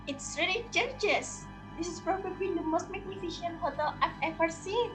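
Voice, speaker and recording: A woman playing a polite, expressive wife and mother in a readers theater drama. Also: very high voice, moderate pace, over music, pitched above the performer's own voice. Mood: amazed. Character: wife and mother, impressed and friendly.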